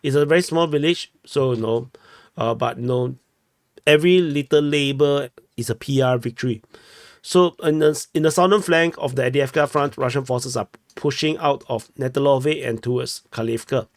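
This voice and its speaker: A man's voice: medium pitch, normal speed, no room sound. Chatty Singaporean man, dry room. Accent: Singaporean English. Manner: chatty, self-correcting, ends with "no?". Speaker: war map analyst. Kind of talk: casual map commentary. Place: small room at home.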